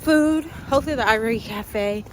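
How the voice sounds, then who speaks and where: A woman's voice: a little high, normal speed, background noise. A woman vlogging her day out with her family, chatty and playful, talking to the phone camera while out at shops and in the car. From Maryland, USA. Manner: playful, chatty, laughing asides. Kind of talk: casual vlog narration. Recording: music playing behind the voice.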